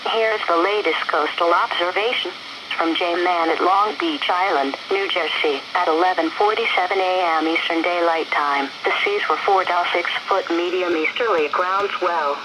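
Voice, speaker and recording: Low-pitched female weather announcer, noisy radio. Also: normal speed. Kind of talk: scripted coastal observation bulletin.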